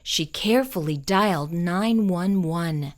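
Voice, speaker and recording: A woman reading a children's picture book aloud, slow and expressive. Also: on the low side, heavy background noise. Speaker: children's storyteller.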